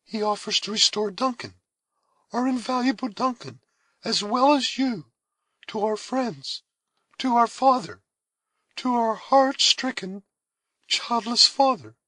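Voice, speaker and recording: A man's voice: lively intonation, takes it slowly, long pauses, pushed and bright, slightly rough, no room sound, full character voice. Man putting on a proud anguished young woman. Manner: high, impassioned, pleading.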